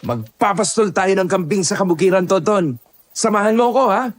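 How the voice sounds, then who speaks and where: A man's voice: sits high, expressive, moderate pace, a little hiss or hum. A man playing a brave, respectful young farmhand and fighter in an audio horror drama, polite but resolute. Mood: playful, friendly.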